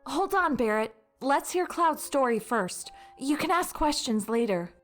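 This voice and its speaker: A woman's voice: high-pitched, expressive, measured pace, soft background music. A woman playing a firm but caring young woman in a video game. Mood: calming, firm. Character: firm, caring young female childhood friend.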